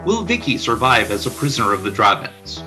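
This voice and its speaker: A man's voice: fairly low pitch, very animated, fairly quick, music in the background. A man narrating a radio play from a script, describing the action.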